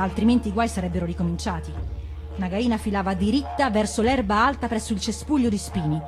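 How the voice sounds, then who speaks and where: A woman reading a story aloud as an actress, in an expressive storybook narration. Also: medium pitch. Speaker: actress reading stories on radio.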